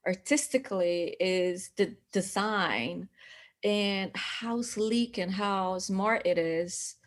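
A middle-aged woman with a Brazilian accent talks casually as an interview guest. Her phrasing is halting, and she laughs easily between thoughts. The sound comes through a video call. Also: mid-range voice. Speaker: guest artist.

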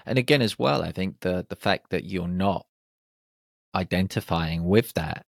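A man hosting a podcast in a very deep voice with a gravelly fry. He sounds relaxed and conversational, and his voice comes across dry and close. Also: a little slow, no room sound. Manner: relaxed, frequent short agreements. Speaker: podcast host. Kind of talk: casual interview conversation.

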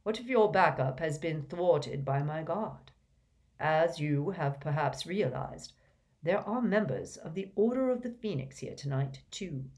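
A woman voicing a gentle, calm old male wizard headmaster who speaks softly and mildly. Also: deep voice. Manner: soft, mild, unhurried.

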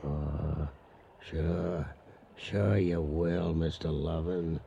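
An actor playing a rough, loyal cowhand with an in a radio drama. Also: male voice, rumbling low, steady tone, drawn-out words, mellow, muffled recording, slight background noise, heavily acted. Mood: concerned, reluctant. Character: rough, loyal cowhand scout, plain-spoken and wary. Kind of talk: western radio drama acting.

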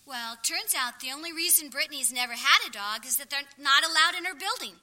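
An upbeat young daughter acted by a woman in a comic radio play. Her voice is high and extremely bright, chatty and youthful. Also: expressive, a little fast, full character voice. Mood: earnest. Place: live stage performance at an audio theatre festival.

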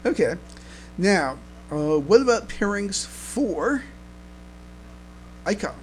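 Man, slightly high voice, unhurried pace, stop-start phrasing, casual podcast chat, faint noisy hum behind. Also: very animated. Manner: halting, repeats and restarts words. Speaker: podcast co-host. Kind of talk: casual fan podcast discussion. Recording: clear background noise, mains hum.

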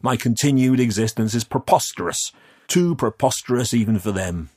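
A man voicing a commanding, menacing older aristocrat, crisp and condescending, with a gravelly edge, in an audiobook story. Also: medium pitch, moderate pace, a little mellow, pitched above the performer's own voice, natural voice. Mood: smooth, condescending.

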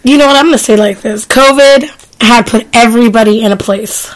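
A woman's voice: on the high side, fairly quick, poor audio. A woman in her forties, sick and losing her voice, co-hosting a casual podcast chat in bursts of emphatic talk. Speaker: podcast co-host.

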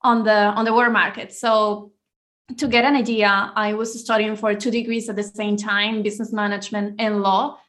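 A woman's voice: medium pitch, little pitch movement, normal speed, fairly bright tone. A woman from Venezuela, answering interview questions as a guest author in an earnest, upbeat way, often with a short laugh.

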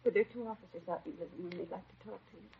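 An actress playing a shaken, devoted American housewife just widowed, speaking politely in short sentences in a 1950s radio drama. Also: female voice, on the low side, rapid-fire, slight background noise. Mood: subdued, considerate. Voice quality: husky. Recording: dull 1950s radio recording.

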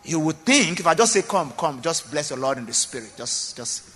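A man's voice: on the high side, sing-song, normal speed, fairly bright tone, long reverb tail, a little hiss or hum. A man preaching a sermon as pastor in a church, emphatic, often repeating phrases and asking the congregation questions.

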